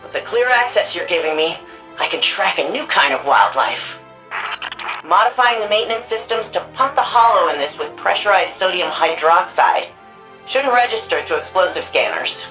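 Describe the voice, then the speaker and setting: A woman archivist turned resistance hacker for a sci-fi game. She talks casually and wryly, with an anxious edge and a lively, swinging pitch. Light music plays behind her. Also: normal speed, rough recording. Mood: focused, matter-of-fact. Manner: casual, conversational, wry. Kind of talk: video game mission dialogue.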